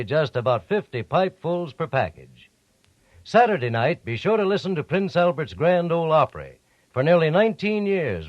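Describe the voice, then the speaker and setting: A man's voice: fairly high pitch, no echo. A man reading a chummy, persuasive radio advertisement. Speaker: commercial announcer. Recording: dull, dry old radio recording.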